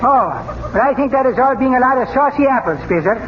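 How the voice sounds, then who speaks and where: An actor playing a cheerful immigrant neighbor who rambles through garbled English, in 1930s radio comedy style. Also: male voice, very high-pitched, lively intonation, fast. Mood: confidently muddled. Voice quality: thick dialect with comically garbled words. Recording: noisy, muffled 1930s radio recording.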